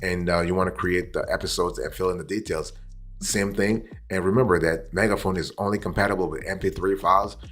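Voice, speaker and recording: A man giving an explanatory review as a host, deliberate in delivery, repeating phrases and checking in with the listener as he goes. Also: sits low, fairly even intonation, a bit bright. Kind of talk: explanatory software review, semi-scripted.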